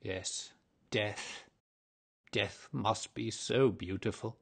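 A sorrowful, tired old ghost who has not slept for centuries, as a male actor plays him. The voice is husky and rather low. He drags each word out slowly. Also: fairly even intonation, heavily acted. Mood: longing. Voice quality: husky, slow and dreamy. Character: lonely weary old male ghost, melancholy and meek. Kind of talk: audiobook drama reading.

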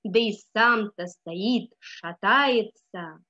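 A young adult woman reading a story aloud in an expressive, dramatic way with deliberate phrasing. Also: mid-range voice. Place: small room indoors. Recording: dull lo-fi webcam sound.

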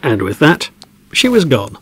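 A man's voice: mid-range voice, wildly swooping pitch, unhurried, husky, no room sound, heavily acted. A man playing a chatty, jovial podcast host presenting a show within an audio drama. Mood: brisk, hosting.

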